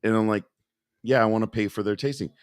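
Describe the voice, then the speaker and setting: A man in his forties with a lowish voice tells a story on a podcast. He talks casually and acts out the people he quotes. The sound is dry and close. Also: steady tone, fast, clean tone, dry recording. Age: 40s. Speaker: podcast co-host.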